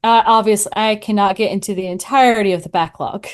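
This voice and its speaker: A woman of average pitch speaks as a guest on a podcast. Her delivery is conversational and casual, working out her opinions as she talks. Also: fast. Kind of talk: casual review chat.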